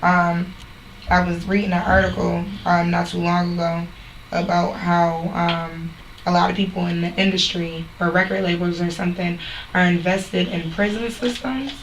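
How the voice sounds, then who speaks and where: A young woman singer, a mother, talking earnestly in a casual interview with a deep speaking voice. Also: flat delivery, moderate pace, recorded from a distance. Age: young adult. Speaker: singer guest. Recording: echoey room, voice off-mic, faint hiss.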